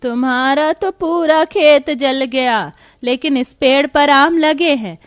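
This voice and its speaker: A plaintive old village woman as a female narrator puts her on in a folk tale. She sounds pleading and hungry, in a fairly high voice with a dry, close sound. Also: slow, dry recording.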